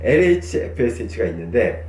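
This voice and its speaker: A male doctor gives an explanatory consultation. His voice is mellow with lively intonation. It is recorded at a distance in an echoey room with faint noise. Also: mid-range voice, fast. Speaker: clinic doctor. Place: small clinic office.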